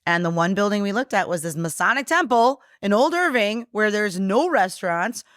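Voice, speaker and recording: A woman whose first language is Polish, chatting as a guest on a bar podcast and telling animated stories with acted-out quotes. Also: mid-range voice, moderate pace, dry recording, clean studio sound. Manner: animated storyteller, acts out quotes, swears casually. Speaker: guest, brewery co-owner. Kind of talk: casual bar podcast chat.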